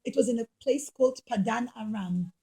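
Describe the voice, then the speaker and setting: A woman with a high voice narrates a puppet show for children. She teaches as she tells the story, using simple, emphatic phrases and plenty of repetition. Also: fairly quick, mellow.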